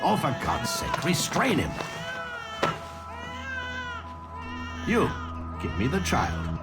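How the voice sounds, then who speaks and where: Slick menacing exec bad guy for a game, talks down to you, pitch swings all over, loud music behind. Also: wildly swooping pitch, full character voice. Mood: commanding. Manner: condescending, measured, falsely soothing. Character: smooth, coldly menacing corporate executive villain. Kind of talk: dramatic video game cutscene acting.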